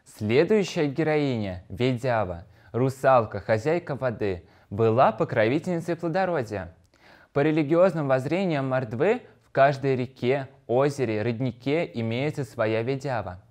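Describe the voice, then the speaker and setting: A man's voice: medium pitch, lively intonation, moderate pace, darkish tone. A man with a mid-range voice delivers scripted educational narration. He explains things like a teacher, speaking directly to his pupils in prepared sentences. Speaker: language lesson presenter.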